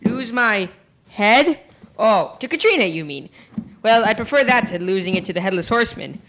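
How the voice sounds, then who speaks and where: A woman's voice: medium pitch, lively intonation, normal speed, fairly bright tone, lightly acted. A female actor playing a nervous, vain, bookish schoolmaster in a school radio play, speaking in florid old-fashioned phrases. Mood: boastful, smitten.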